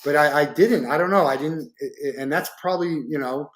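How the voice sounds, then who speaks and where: A man's voice: on the high side, brisk, echoey room, a little hiss or hum. A man in his forties hosting a casual interview, asking rambling, easygoing questions with hesitations. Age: 40s. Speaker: host.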